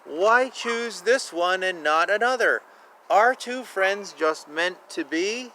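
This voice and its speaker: Man, high-pitched bright voice, children's storybook reading, slow sing-song delivery, outdoor noise. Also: lively intonation. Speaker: children's book reader. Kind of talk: reading picture books aloud with asides. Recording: outdoor recording with clear ambient noise. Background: outdoor ambience.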